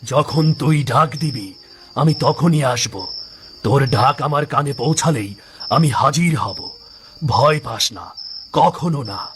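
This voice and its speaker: A man's voice: medium pitch, lively intonation, slow pace, long pauses, a bit bright, faint music behind. A man voicing a kindly ancient giant demon in a cartoon ghost story, speaking solemnly and reassuringly, with lofty promises. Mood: protective, solemn.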